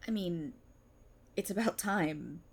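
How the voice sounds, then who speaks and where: A woman's voice: lowish voice, lively intonation, slow pace, gravelly, slightly rough, heavily acted. A woman playing an exasperated, self-aware policewoman in an audio roleplay, in a sarcastic, indignant and theatrical manner. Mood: relieved, concerned. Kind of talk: ASMR audio roleplay monologue, dramatic acting.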